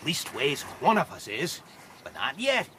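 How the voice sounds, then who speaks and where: A bright, animated male voice for a leering frontier bully in a radio play. He drawls his taunts over faint background noise. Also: on the high side, measured pace, exaggerated voice. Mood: gloating. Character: leering, bullying young frontier thug, mocking. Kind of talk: radio drama acting.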